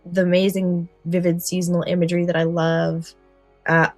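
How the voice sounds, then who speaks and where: Woman, low pitch, podcast co-host, enthusiastic explainer, close dry sound, music underneath. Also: completely flat delivery, moderate pace, darkish tone, no room sound. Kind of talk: podcast discussion, part prepared book summary.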